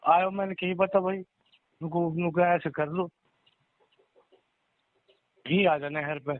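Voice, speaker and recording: A man's voice: sits high, steady tone, takes it slowly. A man with a Mewati accent chatting informally in rustic dialect on a recorded phone call. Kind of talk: casual private phone chat. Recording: tinny phone line, roomy echo.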